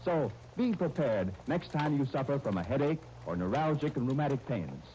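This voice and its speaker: Male announcer, 1930s radio narration, formal delivery, very lively intonation, warm dark tone, old disc recording. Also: medium pitch, slight background noise, rough recording. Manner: formal, measured, deliberate phrasing.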